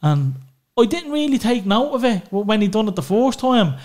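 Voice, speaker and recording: A man's voice: high voice, very animated, normal speed, a little mellow. An Irish man opening up as a podcast guest, talking frankly about family and swearing casually, with lots of "you know" filler. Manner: open and emotional, swears freely, many "you know"s. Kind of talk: candid personal conversation.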